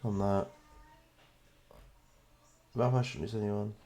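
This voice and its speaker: London guy streaming a game, kinda low voice, rambly and chatty, talking to viewers while he plays. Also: steady tone, slow pace, dark tone. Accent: British (London). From London, England. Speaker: gaming streamer. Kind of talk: casual live game commentary.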